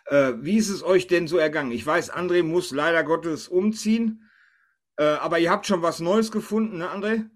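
A male podcast host with a slightly high voice. He talks casually and chattily, steering the banter and teasing his co-hosts as he goes. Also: normal speed.

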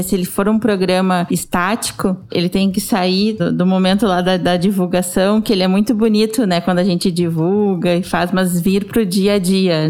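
Measured woman explaining. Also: lowish voice, a little mellow. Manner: measured, frequent "né" tag fillers. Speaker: guest, corporate diversity program lead. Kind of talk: explanatory interview answers.

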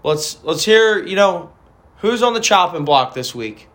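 A man hosting a casual fantasy football podcast, his voice raspy from a weekend party, rambling with frequent restarts. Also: sits high, expressive, unhurried, fairly bright tone. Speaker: podcast host.

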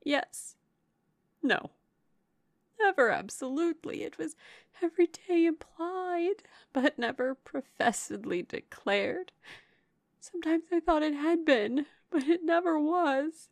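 A woman's voice: sits high, expressive, slow, no room sound, studio quality, voice pushed up, heavily acted. A woman narrator voicing an anguished, heartbroken young woman from a classic novel, passionate and exclaiming wildly. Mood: agitated. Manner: wild, exclaiming, lamenting.

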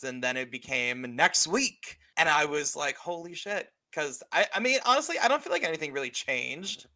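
Bright-voiced thirty-year-old man chatting casually. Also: mid-range voice. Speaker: podcast co-host. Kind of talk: casual chat.

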